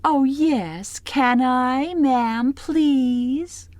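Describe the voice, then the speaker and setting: Woman voicing a high, fawning young handmaid. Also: very slow, darkish tone, dry recording. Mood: eager pleading. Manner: fawning, pleading, overly polite. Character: meek, whiny, ingratiating young handmaid woman.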